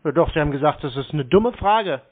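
A man's voice: fairly high pitch, lively intonation, fast, crowd noise. A man in his twenties in a casual phone conversation, terse and provocative, playing confused. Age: 20s. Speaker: prank caller baiting phone scammers. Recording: dull band-limited call recording.

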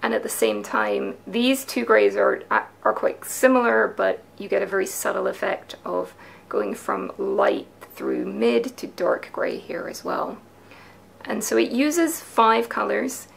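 Creaky-voiced woman chatting about knitting. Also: mid-range voice, unhurried. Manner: chatty and enthusiastic, explains techniques step by step with hand gestures. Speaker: knitwear designer presenting her patterns. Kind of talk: casual explanatory video talk. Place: small home studio with a craft table.

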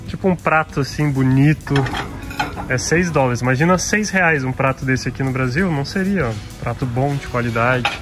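Um homem jovem, brasileiro, que grava um vlog descontraído numa grande loja, comentando preços com jeito brincalhão e falante. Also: male voice, mid-range voice, moderate pace, over music. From Brazil. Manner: chatty, jokey, lists prices out loud. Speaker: travel vlogger. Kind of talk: casual store walkthrough vlog. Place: large indoor department store.

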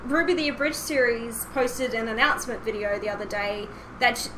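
A woman's voice: a little high, fairly quick, sharp tone. A young woman hosting a casual podcast over a call, chatty and giggly. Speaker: podcast host. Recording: clearly noisy voice-call audio.

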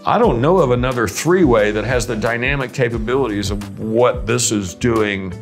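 A man of average pitch hosts a casual interview. He is enthusiastic and often thinks aloud while building up a question or comment. Light music sits in the background. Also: moderate pace. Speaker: interviewer, host.

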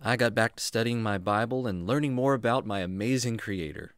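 A man's voice: on the low side, brisk, clear, resonant tone, no echo, clean studio sound, lightly acted. A man playing a kindly, enthusiastic jungle man who teaches patiently and expressively in a children's radio drama. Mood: gentle, reflective. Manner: expressive, reassuring, explanatory.

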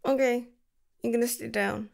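Gushing woman with a gravelly edge. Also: fairly high pitch, fairly even intonation, normal speed. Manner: gushing and affectionate, shifting to soft whispers. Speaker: ASMR roleplay creator. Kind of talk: ASMR girlfriend roleplay.

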